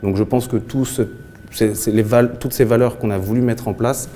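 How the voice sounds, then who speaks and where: A man's voice: medium pitch, steady tone, moderate pace, dark tone, slight background noise. A man in his thirties from the Paris suburbs, a shop founder answering interview questions earnestly, with frequent restarts and fillers. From Paris suburbs, France. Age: 30s. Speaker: clothing brand founder.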